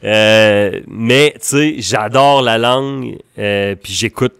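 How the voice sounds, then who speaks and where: A man's voice: on the low side, slow, vocal fry, a bit bright, clear, resonant tone, dry recording, studio quality. A man from Ontario with a Franco-Ontarian French accent, a guest musician chatting casually and rambling with many restarts. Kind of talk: casual conversation.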